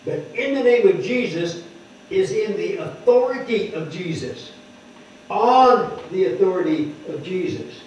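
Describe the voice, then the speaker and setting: An older man preaching at a lectern, explaining scripture word by word and stressing key words loudly. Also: sits high, lively intonation, unhurried, recorded from a distance, slight background noise. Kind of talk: sermon teaching scripture. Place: wood-paneled church hall, speaking at a lectern. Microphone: microphone on the lectern, heard from a distant camera. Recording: echoey hall heard from afar, dull.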